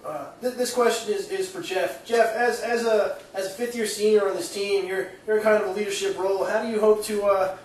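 A man's voice: high voice, fairly even intonation, a little fast, hardly any pauses, far from the mic. A man playing a reporter, asking a rambling, hesitant question. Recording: very echoey room, heard from afar.

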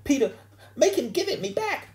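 A bossy young schoolgirl from a children's storybook. The voice is shrill and high, leaping around in pitch. The delivery is dramatic and whiny. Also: sing-song, moderate pace, a little mellow. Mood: frantic, tearful. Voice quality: shrill, squealing when upset.

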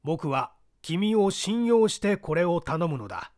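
A dying man speaks with grave urgency, played by a male audiobook reader. His high voice rises and falls dramatically as he insists on solemn promises. The sound is very dry. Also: very animated, measured pace, a little mellow, no echo. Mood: grave, insistent.

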